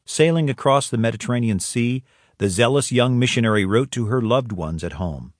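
A man narrating a documentary in a measured, scripted style. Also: mid-range voice, expressive, no echo, clean studio sound.

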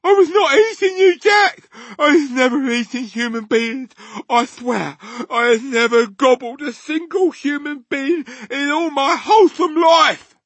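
A man voicing a terrified flesh-eating giant from a children's storybook, wailing and pleading in drawn-out shrieks. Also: very high voice, fairly bright tone, no echo, voice pushed up. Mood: desperate screeching. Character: terrified flesh-eating giant, male, screeching, begging and blustering. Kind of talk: dramatised children's storybook reading.

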